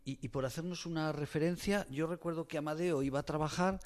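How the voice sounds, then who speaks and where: A male host talks very fast in a fairly high voice during a casual interview. He is chatty and joking, peppering his guest with questions. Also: fairly even intonation, darkish tone, long reverb tail.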